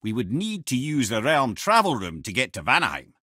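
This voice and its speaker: An actor with a Scottish accent playing a wry, worldly old storyteller and adviser in a video game, husky and eloquent, speaking with theatrical flair and dry asides. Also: male voice, mid-range voice, huge pitch swings, fairly quick, bright, forceful tone, dry recording, exaggerated voice. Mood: wry, conversational. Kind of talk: video game character dialogue and mythic storytelling.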